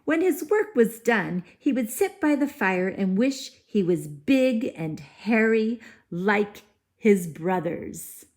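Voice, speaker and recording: A woman teacher reads a picture book aloud to young children. Her delivery is very unhurried and expressive, with wide swings in pitch and simple explanatory asides. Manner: deliberate, animated, simple child-directed phrasing.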